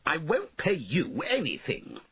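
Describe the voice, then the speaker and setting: Shrieking, blustering rich landowner. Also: male voice, a little high, huge pitch swings, phone-quality sound, a little hiss or hum, voice pushed up, heavily acted. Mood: desperate, demanding. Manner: shrieking, blustering, drawn-out cries. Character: angry, cruel rich landowner, panicking and bellowing.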